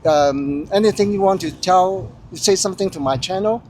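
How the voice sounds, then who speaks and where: A man from Hong Kong whose first language is Cantonese, a travel vlogger talking in an easygoing, chatty way with frequent filler pauses. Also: high voice, a little mellow, slight background noise. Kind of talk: casual travel narration.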